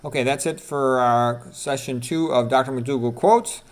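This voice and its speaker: A man's voice: medium pitch, steady tone, moderate pace. A man presenting an informal lecture, reading out quotes and adding his own asides, emphatically repeating key points and putting questions to the listener. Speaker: presenter, medical doctor.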